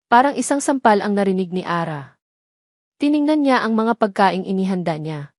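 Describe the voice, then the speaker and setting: A woman's voice: mid-range voice, animated, normal speed, no echo, studio quality. A woman reading scripted dramatic story narration.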